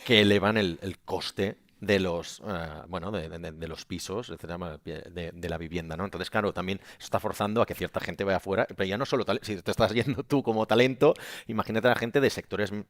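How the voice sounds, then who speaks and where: Man, from Catalonia, low voice, dry sound, panel moderator, hesitant repeats. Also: fairly quick, dry recording. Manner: hesitant, repeats words while searching. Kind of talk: panel discussion.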